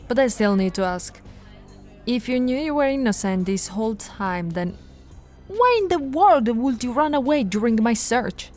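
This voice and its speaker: A woman voicing a patient, teasing female police officer in an ASMR audio roleplay, speaking softly and coaxingly to the listener, recorded close to the mic. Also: on the high side, lively intonation, moderate pace, faint music behind, natural voice. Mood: matter-of-fact. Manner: soft-spoken, coaxing, playfully flirtatious. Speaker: ASMR voice actress.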